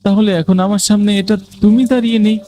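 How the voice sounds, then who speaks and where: A man's voice: high-pitched, flat delivery, quick pace, dark tone. A man narrating a scripted first-person ghost story for a cartoon.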